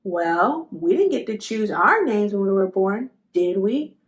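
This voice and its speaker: A woman's voice: mid-range voice, expressive, unhurried, lightly acted, poor audio. A woman voicing a reasonable, argumentative schoolgirl in a children's picture book. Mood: reasoning.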